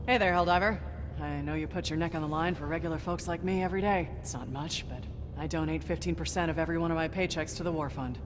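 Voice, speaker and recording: An actress playing an earnest, starry-eyed young starship service technician in a video game, chatty and naively patriotic. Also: female voice, low-pitched, fast, lightly acted. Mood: eager, admiring. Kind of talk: video game character voice lines. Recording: noisy starship engine ambience behind.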